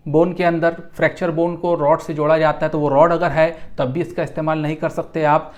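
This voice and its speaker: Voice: mid-range voice, level tone, fairly quick, dull audio. An instructor giving an explanatory medical lecture in a didactic way, restating terms and repeating phrases. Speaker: instructor in physiotherapy and Unani regimenal therapy.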